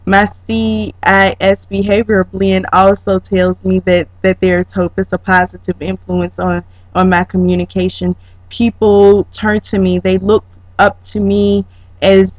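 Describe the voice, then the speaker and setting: A student presenter giving a prepared, reflective slide presentation, speaking haltingly with drawn-out pauses in mid-sentence. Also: medium pitch. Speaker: student presenter, educator training as a counselor. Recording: dull lo-fi sound with room echo.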